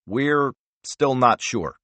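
A man's voice: medium pitch, expressive, very slow, sharp tone, dry recording, pitched above the performer's own voice, full character voice. A man voicing a weary spy agency director in his late fifties who picks his words carefully. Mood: guarded. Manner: careful, restrained, frustrated.

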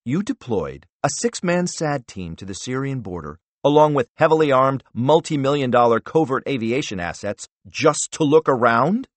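A man's voice: medium pitch, very animated, normal speed, few pauses, no room sound, voice pushed up, lightly acted. A man voicing an arrogant, bullying middle-aged senator who barks and interrupts. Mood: angry. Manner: barking, condescending, interrupting.

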